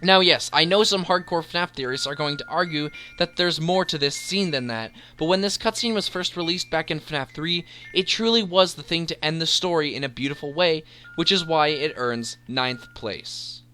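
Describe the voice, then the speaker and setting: A man's voice: a little high, moderate pace, few pauses, bright, forceful tone, a little hiss or hum. A man hosting a video essay, narrating a scripted countdown with enthusiasm and emphatic, dramatic delivery. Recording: voice-over with background music. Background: music.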